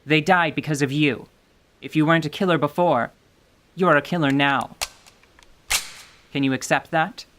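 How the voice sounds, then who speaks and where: A performer voicing a grim, composed female warrior who speaks in a calm, measured, stern way. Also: mid-range voice, slight background noise, close to an everyday voice. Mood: grave. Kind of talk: radio drama acting.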